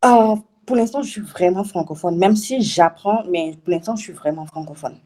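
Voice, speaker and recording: Give me a woman guest who talks about her writing job, soft and low, stumbling over her words with a laugh. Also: moderate pace. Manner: hesitant, repeats words, laughs often. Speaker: web writer, interview guest. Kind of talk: casual interview answers.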